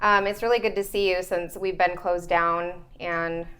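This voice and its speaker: A woman's voice: lowish voice, steady tone, normal speed, fairly bright tone, clean tone. Bubbly young woman hosting. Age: young adult. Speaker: podcast host and interviewer. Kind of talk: casual chatty interview.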